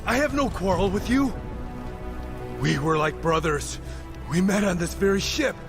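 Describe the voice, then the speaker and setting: A man's voice: sits high, expressive, measured pace. A man playing an anguished younger warrior in a dramatic game story, pleading and emotional, with music underneath.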